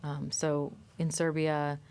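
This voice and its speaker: A woman filmmaker, a guest in a studio interview, explaining her work in a measured way with frequent pauses and ums. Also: low voice, little pitch movement, darkish tone, clean tone.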